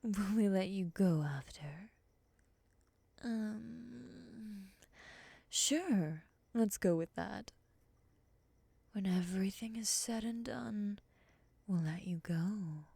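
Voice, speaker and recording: A woman acts out a possessive female moth monster in an ASMR roleplay. Her voice is breathy, with a creaky edge, recorded very dry and close. She speaks formally and condescendingly, with quiet menace. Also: mid-range voice, no echo, full character voice. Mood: coolly polite. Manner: hushed, slow, formal and condescending.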